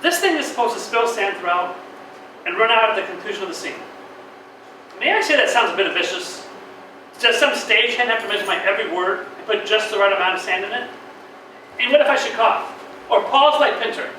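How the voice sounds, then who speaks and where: Fussy dramatic man played by an actor, high bright voice, echoey stage from afar. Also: lively intonation, a little fast, far from the mic. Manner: fussy and dramatic, reading scripture solemnly. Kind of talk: stage play performance. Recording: echoey stage heard from afar, faint noise.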